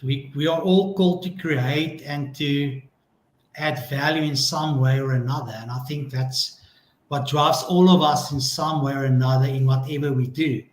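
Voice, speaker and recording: A man talking as a songwriter guest on a live-stream video call, slow and thoughtful. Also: mid-range voice, steady tone. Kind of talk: casual live-stream chat. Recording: echoey room.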